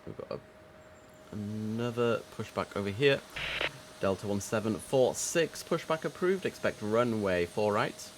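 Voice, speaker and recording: Deep-voiced man, casual air traffic sim streamer. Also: a little slow. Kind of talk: casual live game commentary mixed with radio instructions. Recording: steady background noise.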